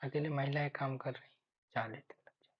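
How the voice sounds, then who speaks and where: Husky guy doing a maths lesson, thinks out loud step by step, kinda muffled cheap recording. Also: mid-range voice, fairly even intonation, fairly quick. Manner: instructional, repeats key figures, thinks aloud. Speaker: maths teacher for exam preparation. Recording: dull lo-fi microphone sound.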